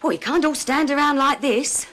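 A middle-aged actress playing a bustling, hospitable Edwardian housewife in a children's period drama. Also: female voice, high voice, moderate pace, a bit bright. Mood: welcoming.

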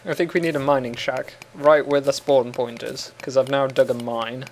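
A man's voice: mid-range voice, flat delivery, normal speed, a little hiss or hum. Brief: English man, casual gaming banter, giggly and rambling, faint typing behind. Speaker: co-host playing a game.

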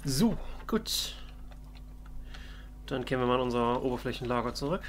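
A man's voice: mid-range voice, animated, normal speed, a little hiss or hum. A man doing casual, in-character let's play commentary, musing and thinking aloud. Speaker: let's player.

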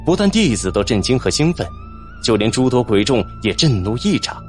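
A man's voice: medium pitch, very animated, a little fast. A man reading a fantasy novel as a dramatic audiobook narrator. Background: music.

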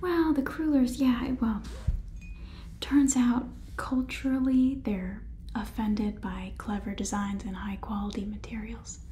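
A young woman playing a harried company boss on a phone call in an ASMR sitcom, hushed, halting and conversational. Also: on the high side, steady tone, a little hiss or hum, natural voice. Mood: anxious, reassuring. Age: young adult.

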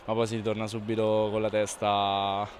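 Young male athlete, post-match, low and monotone, loose repetitive answers, handheld mic. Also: normal speed, slight background noise. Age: young adult. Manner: relaxed, repetitive, self-correcting answers. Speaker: volleyball player. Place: indoor sports hall in front of a sponsor wall. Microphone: reporter's handheld mic held close.

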